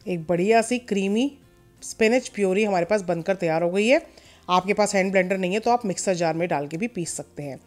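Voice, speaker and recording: Woman, mid-pitched, calm cooking-show voice-over with light music behind. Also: a little fast. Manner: calm step-by-step instruction, mixing in English words. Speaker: cooking channel host. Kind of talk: recipe voice-over.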